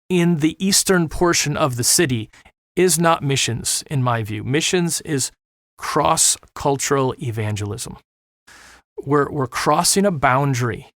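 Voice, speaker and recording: A man's voice: medium pitch, fairly bright tone, no echo. Middle-aged missionary guy, fired up and preachy, repeats himself to hammer a point, dry close mic. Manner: passionate, preacherly, repeats words when pushing a point. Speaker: missionary guest. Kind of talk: earnest podcast conversation.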